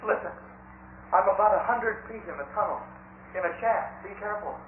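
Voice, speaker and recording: A weary, desperate trapped man played by a man in an old radio western. His fairly high voice is strained and pleading. It echoes heavily through a narrow, tinny recording. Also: brisk, slight background noise, close to an everyday voice. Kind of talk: 1940s-50s radio western acting.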